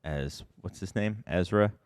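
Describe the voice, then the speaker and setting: A man's voice: sits low, a little slow, a little mellow, smooth. A middle-aged man co-hosting a podcast, chatting casually and rambling with ums and restarts. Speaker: podcast co-host. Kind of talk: casual chat. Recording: reverb on the voice.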